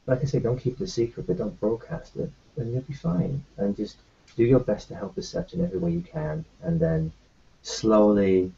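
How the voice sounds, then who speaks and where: A man in an in-character tabletop roleplay, talking in a halting, reassuring way with many restarts. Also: mid-range voice, expressive, creaky, dark tone, muffled recording.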